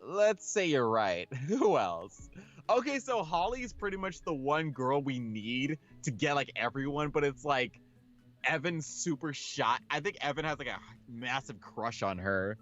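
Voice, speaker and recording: A man's voice: mid-range voice, big pitch swings, unhurried, fairly bright tone, dull audio. A man doing a casual, jokey playthrough, reading a visual novel aloud and commenting in slang. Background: background game music.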